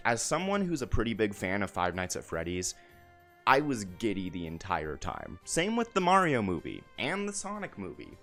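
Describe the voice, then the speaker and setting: A man's voice: fairly low pitch, huge pitch swings, moderate pace, fairly bright tone. A young man giving casual, opinionated commentary to viewers in an enthusiastic, emphatic way, often repeating his phrases. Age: young adult. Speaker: video essay host. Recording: music bed behind the voice.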